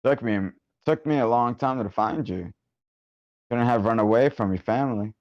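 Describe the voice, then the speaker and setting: A man's voice: medium pitch, normal speed, a little mellow, lightly acted. A man playing a vicious, cold middle-aged businessman killer in a screenplay table read. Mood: calm menace. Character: vicious cold 53-year-old businessman killer, menacing and casual. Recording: muffled online meeting audio.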